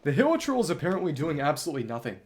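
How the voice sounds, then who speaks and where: A man's voice: a little high, sing-song, rapid-fire, slight background noise. A man livestreaming a game, thinking aloud in bookish wording as he chats casually with viewers and wanders between topics. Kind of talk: casual gameplay commentary, chatting with viewers.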